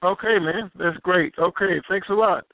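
A man hosting a call-in radio show, moving things along with quick, affirming replies. His voice sits a little high. The sound is dry and squeezed into a thin, phone-like band. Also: gravelly, no echo. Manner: brisk, affirming. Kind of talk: interviewing callers.

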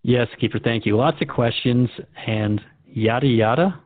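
Male host for scripted radio dialogue: inquisitive, conversational, mid-pitched, dry, tinny phone-quality recording. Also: measured pace, no room sound.

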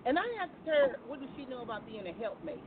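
High-voiced American woman hosting, phone-like sound. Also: animated, quick pace, a little hiss or hum. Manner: informal, folksy, conversational questioning. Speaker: talk show host taking calls. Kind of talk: casual, chatty live talk show. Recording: thin phone-like audio.

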